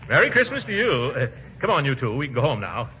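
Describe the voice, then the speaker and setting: A pompous, blustering middle-aged uncle from a 1940s radio comedy. His voice is bright and swings widely as he fusses. It sits in a muffled, hissy old broadcast recording. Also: medium pitch, sing-song. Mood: hearty, pompous. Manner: blustering, flustered, with drawn-out exclamations.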